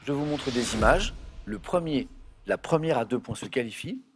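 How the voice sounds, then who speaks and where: A man's voice: medium pitch, expressive, normal speed, breathy edge, faint music behind. A man hosting a television quiz show in a studio, playful and chatty with the contestants.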